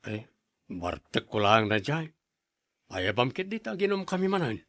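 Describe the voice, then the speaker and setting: Man playing drunken husband, Ilocano accent, stubborn, pleading, medium pitch, drama acting. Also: big pitch swings, measured pace, fairly bright tone, dry recording, studio quality. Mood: arguing, defiant.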